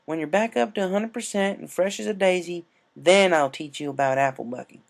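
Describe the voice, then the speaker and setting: A man's voice: high voice, brisk, creaky, pitched above the performer's own voice. A man voicing a stubborn, caring cartoon farm pony, a mare with folksy country phrasing. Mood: firm, concerned.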